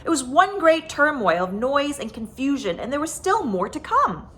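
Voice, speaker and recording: Female storyteller for kids' picture book, higher-pitched and swooping, with dramatic, expressive delivery. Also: moderate pace. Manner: expressive, dramatic emphasis with stretched words. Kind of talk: animated children's story reading.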